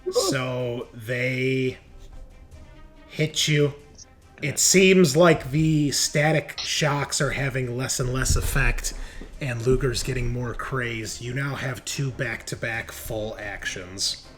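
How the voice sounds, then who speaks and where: A man's voice: medium pitch, takes it slowly, a bit bright, faint music behind. A man acting as game master, narrating a tabletop roleplaying game in a casual, descriptive way. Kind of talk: improvised game narration and rules calls.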